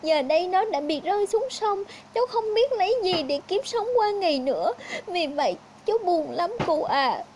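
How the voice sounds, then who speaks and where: A woman's voice: very high-pitched, expressive, normal speed, darkish tone, a little hiss or hum, voice pushed up. A woman voicing a poor, honest young woodcutter in a fairy tale, speaking politely and earnestly. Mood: sad, plaintive.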